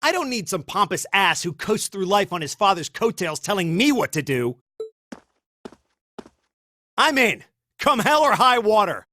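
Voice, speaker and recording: A man's voice: high-pitched, sing-song, unhurried, long pauses, bright, forceful tone, dry recording, full character voice. An actor playing a self-righteous, indignant teenage male student in an anime game dub, heated and preachy. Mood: scornful, defiant.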